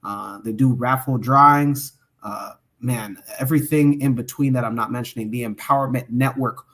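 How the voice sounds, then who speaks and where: A man's voice: mid-range voice, fairly even intonation, normal speed, a bit bright. Enthusiastic man signing off. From Omaha, Nebraska. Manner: enthusiastic, hesitant with many fillers. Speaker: podcast co-host. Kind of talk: closing remarks and shout-outs.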